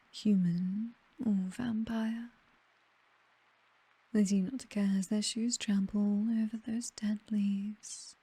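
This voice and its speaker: A woman plays an aristocratic British vampire mistress, seductive, condescending and possessive. Her voice is extremely mellow and breathy, close to a whisper, and she takes her time with every phrase. It is an intimate, dry ASMR roleplay delivery with a languid, teasing air. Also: medium pitch, no echo, exaggerated voice.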